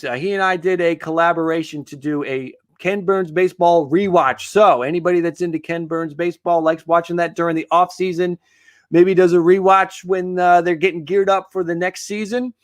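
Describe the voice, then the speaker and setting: Tired, rambling male sports streamer. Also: high voice. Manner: rambling, many fillers and restarts, tired late-night talk. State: tired, losing his voice. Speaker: host of a live sports stream. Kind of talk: casual live-stream chat reading viewer comments.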